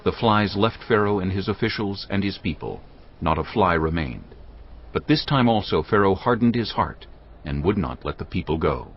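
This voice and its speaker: A man narrates scripture in a deep, grave voice with expressive rises and falls. The audio is a bit muffled, and music plays steadily underneath. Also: moderate pace.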